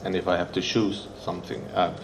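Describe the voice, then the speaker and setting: A man from Sweden with a Swedish accent, Swedish his first language, sharing his recovery story at a meeting, nervous and halting as he searches for English words. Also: low-pitched, flat delivery, very noisy.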